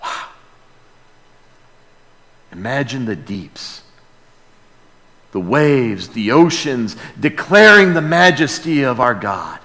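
A man's voice: medium pitch, very animated, slow pace, long pauses. Emphatic male preacher in a reverberant hall. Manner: emphatic, reverent, swinging from loud proclamation to hushed emphasis. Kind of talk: expository sermon with dramatic scripture reading. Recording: long reverberant hall sound.

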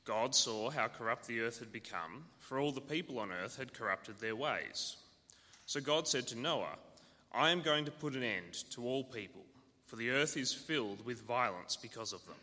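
A man reading a Bible passage aloud in church, steady and measured. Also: medium pitch. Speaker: scripture reader. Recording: church hall reverb.

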